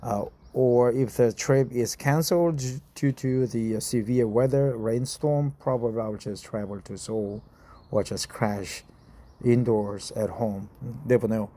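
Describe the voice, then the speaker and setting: Korean-accented man reading slowly, many fillers. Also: medium pitch, steady tone, warm tone. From South Korea. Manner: hesitant, many uh fillers and self-corrections. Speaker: vlogger reading an article aloud. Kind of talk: casual self-recorded English reading practice.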